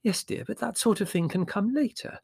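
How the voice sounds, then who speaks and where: A man voicing a gentle, vague middle-aged mother in an audiobook, hesitant and placating. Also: sits high, moderate pace, creaky, husky, dry recording, studio quality, pitched above the performer's own voice, full character voice. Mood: doubtful. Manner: hesitant, placating, mildly doubtful. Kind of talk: audiobook character voice.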